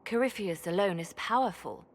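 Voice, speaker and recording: Cool, wary spymaster, an actress, in echoing hall. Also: female voice, medium pitch, expressive, normal speed, noisy background, natural voice. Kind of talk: dramatic fantasy video game acting.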